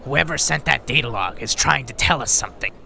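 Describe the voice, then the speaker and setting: Man playing gravelly, grumpy robot doctor. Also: medium pitch, lively intonation, brisk, sharp tone, exaggerated voice. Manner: exasperated, grave, insistent. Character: worn, grumpy, earnest male robot doctor. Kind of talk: audio drama acting.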